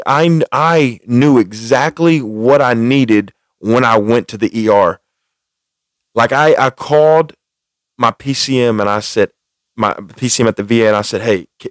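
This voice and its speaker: A man's voice: on the low side, no echo. A man hosting a podcast, telling personal stories and venting casually, often repeating and restating his phrases for emphasis. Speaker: podcast host.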